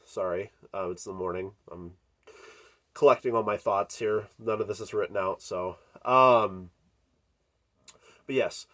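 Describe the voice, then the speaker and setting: A man's voice: lowish voice, a little slow, long pauses, a bit bright. A congested man hosting a horror channel, giving an unscripted movie review with many fillers and restarts. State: congested from a cold.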